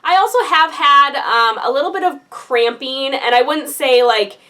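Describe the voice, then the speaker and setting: Young woman, casual vlogger, bright, chatty, filler-heavy, slightly stuffy nose. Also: high voice, moderate pace. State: congested. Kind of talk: casual vlog update.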